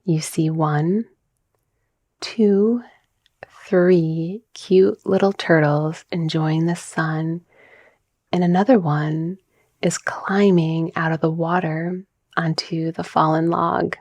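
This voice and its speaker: Soft, slow, hushed woman narrating relaxation. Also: deep voice, fairly even intonation, no room sound. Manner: soft, breathy, soothing, gently measured delivery. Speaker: guided meditation narrator, mindset coach. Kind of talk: calming guided visualization, scripted relaxation narration.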